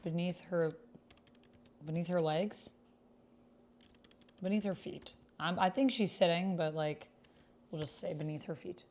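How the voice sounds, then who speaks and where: Chatty woman streamer doing a text rpg, lowish voice, bit of noise and keyboard clacking behind her. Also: a little slow, long pauses, darkish tone. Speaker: streamer and tabletop roleplayer. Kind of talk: casual livestream chat with typed roleplay read aloud.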